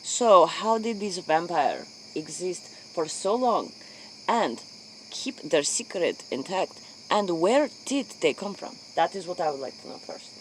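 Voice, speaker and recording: A man acting as an earnest interviewer in a comic role-play, speaking deliberate broken English. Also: high-pitched, lively intonation, rough recording. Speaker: interviewer in character. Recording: faint noise, crickets behind.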